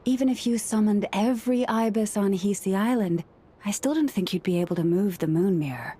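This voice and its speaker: A woman playing a gentle, composed young woman in a video game, with measured, articulate and gently wry delivery. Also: medium pitch, husky, close to an everyday voice. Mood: reasoning. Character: gentle, composed young woman who understands animal speech.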